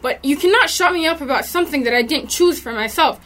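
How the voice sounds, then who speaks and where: A woman's voice: high voice, animated, fast talker, a bit bright. A young woman, a podcast guest, speaking in an earnest, firm way. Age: young adult. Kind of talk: casual chat.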